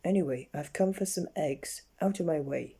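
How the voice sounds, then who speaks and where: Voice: mid-range voice, normal speed, dark tone, husky. A reader voicing a stubborn, rude little storybook girl who is contrary and dismissive. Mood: snappish, stubborn.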